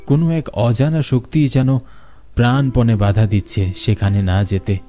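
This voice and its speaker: A man's voice: medium pitch, steady tone, unhurried, mellow, soft background music. A man narrating a scripted horror story.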